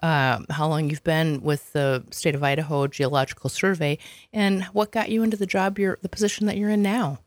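A man's voice: on the high side, fairly even intonation, a little fast, no room sound. A male host asking curious, plain questions in an interview.

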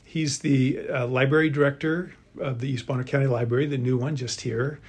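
Husky-voiced male interviewer. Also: mid-range voice, fairly even intonation, brisk. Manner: friendly, conversational questioning. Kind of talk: radio-style interview.

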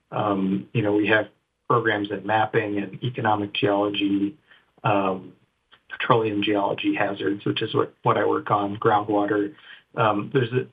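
Soft-spoken man, husky on a tinny line. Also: fairly low pitch. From northern Idaho. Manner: hesitant, many fillers and restarts, soft-spoken. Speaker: state geologist guest. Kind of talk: casual interview talk.

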